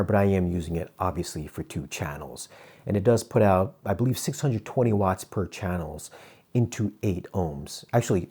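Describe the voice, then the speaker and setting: A man with a fairly low voice talks through a product review as a reviewer would on video. His delivery is casual and conversational, explaining details step by step in an informal way. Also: warm tone, breathy edge. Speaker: audio gear reviewer.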